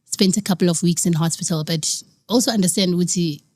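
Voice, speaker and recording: A woman's voice: on the low side, fairly quick, a little mellow, slightly rough, no room sound. A young South African woman telling a personal story in an interview, earnest, slipping Zulu phrases into her English. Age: young adult. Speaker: guest.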